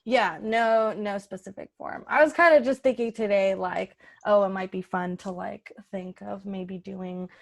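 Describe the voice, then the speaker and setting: A woman with an average-pitched voice leads a casual planning chat. She sounds informal and a little tentative, working out her thoughts as she speaks. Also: steady tone, unhurried, creaky, hardly any pauses. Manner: informal, tentative, thinking out loud. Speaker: podcast host and improv organizer.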